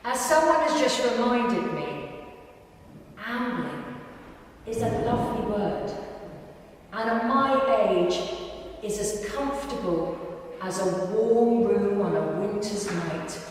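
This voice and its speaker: Unhurried woman reciting, distant and echoey. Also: mid-range voice, expressive. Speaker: reader at a live literary event. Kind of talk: reading poems and short prose aloud to an audience. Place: large indoor gym hall at a live reading. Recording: echoey hall, distant mic, faint noise.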